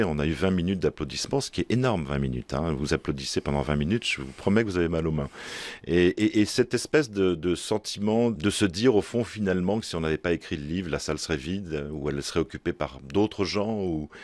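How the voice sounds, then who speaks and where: A man speaks spontaneously as an interviewed novelist, halting and repeating words as he thinks. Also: deep voice, dry recording.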